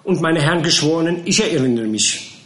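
A chattering, gossipy woman on the witness stand, put on by a male audiobook reader rambles on in homely, ungrammatical speech with little laughs. Her voice sits high and swoops about. The sound has a roomy echo. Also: lively intonation, a little fast, fairly bright tone. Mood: flustered chatter. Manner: rambling, folksy grammar, laughing.

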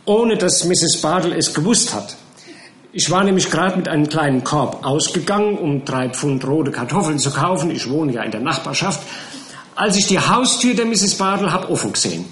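A man's voice: on the high side, a bit bright, echoey room, a little hiss or hum, pitched above the performer's own voice. A man voicing a gossipy middle-aged woman witness who rambles in folksy grammar and laughs as she talks.

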